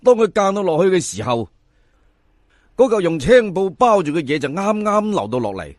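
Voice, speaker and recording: A man's voice: high voice, sing-song, moderate pace, no echo, studio quality. A man with a Cantonese accent narrating a folk tale in a theatrical, colloquial way, speaking straight to his listeners. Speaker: storyteller.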